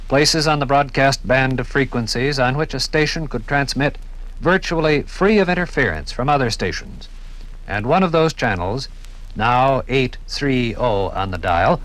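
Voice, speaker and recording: An American man narrating a scripted 1950s radio documentary in dramatic, rhetorical phrases that build deliberately. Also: medium pitch, a bit bright, poor audio. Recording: vintage 1950s radio program recording.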